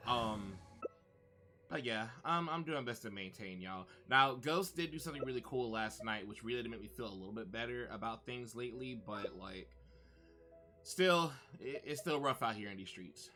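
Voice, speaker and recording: A man's voice: medium pitch, lively intonation, moderate pace, pushed and bright. A man chatting casually as he hosts a gaming stream, informal, friendly and slangy. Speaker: game livestreamer, host. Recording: faint game music behind. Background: game music.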